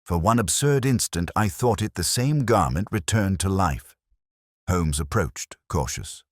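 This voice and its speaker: A man narrating a dramatic mystery story in a measured, solemn manner with short, deliberate phrases. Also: low-pitched, animated, no echo, clean studio sound.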